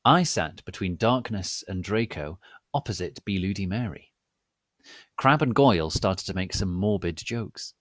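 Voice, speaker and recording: Deep-voiced, slow, theatrical male narrator. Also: lively intonation, dry recording, clean studio sound. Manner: mock-solemn, deliberate, with dramatic pauses. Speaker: host and reader. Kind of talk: theatrical, scripted comic dramatic reading.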